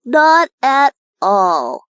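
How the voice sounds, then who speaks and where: A woman's voice: high-pitched, sing-song, drawn-out words, a bit bright, no room sound, dull audio, voice pushed up, full character voice. Woman doing a mopey little earthworm. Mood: glum. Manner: mopey, self-pitying, wondering. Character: glum young male earthworm, wistful dreamer.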